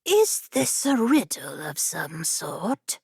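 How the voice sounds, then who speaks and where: A woman acts out an ancient female genie from a fantasy storybook, menacing and predatory. Her words come very slowly in a breathy growl that sinks toward a whisper, captured close and dry. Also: low voice, big pitch swings, no room sound, pitched below the performer's own voice, exaggerated voice. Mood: suspicious, growling. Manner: slow, drawn-out, deliberate delivery. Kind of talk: fantasy audiobook character acting.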